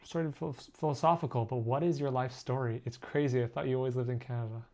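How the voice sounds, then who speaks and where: A young man born in Korea, an artist chatting casually with viewers while he draws on a live stream, self-deprecating and quick to laugh. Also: mid-range voice, fairly even intonation, dark tone. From born in Korea, raised abroad. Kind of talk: casual live-stream chat reading comments.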